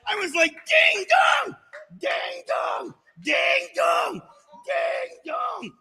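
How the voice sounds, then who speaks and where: Middle-aged male stand-up, high bright voice, punchy and deadpan, live room with a long reverb. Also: very slow, some chatter behind, a little hiss or hum. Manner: punchy, deadpan asides, bursts into loud shouted singing. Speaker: stand-up comedian. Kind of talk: stand-up comedy set. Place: comedy stage.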